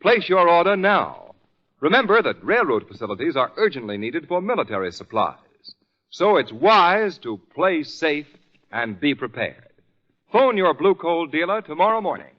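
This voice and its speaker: A man's voice: a little high, very animated, moderate pace. An American man announcing and narrating in scripted 1940s radio style, emphatic, dramatic and polished. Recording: muffled old radio recording, faint music. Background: faint music.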